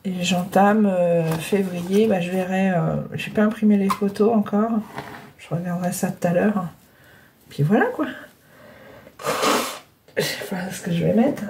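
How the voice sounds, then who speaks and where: A woman's voice: mid-range voice, fairly even intonation, unhurried, long pauses, a little mellow, a little hiss or hum. A middle-aged woman with a cold and a blocked nose, chatting casually to viewers about her scrapbooking, hesitant and full of fillers. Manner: hesitant, many fillers and restarts. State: congested with a cold, breathing heavily. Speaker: scrapbooking vlogger. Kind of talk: casual rambling chat to viewers. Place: home craft room.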